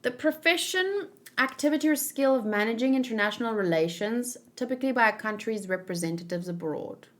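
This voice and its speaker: A woman hosts a read-along in a casual, informal way. She thinks out loud as she talks, often feeling around for the right word. Her commentary is chatty and unscripted. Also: a little high, expressive, brisk. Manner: thinking aloud, searching for words. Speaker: host of a manga read-along.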